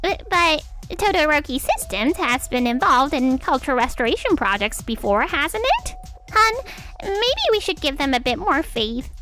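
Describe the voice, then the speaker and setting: Dramatic manga-dub wife in business, played by a woman, very high swooping voice, defensive. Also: big pitch swings, normal speed, pushed and bright, heavily acted. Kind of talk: anime-style manga dub acting. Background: music.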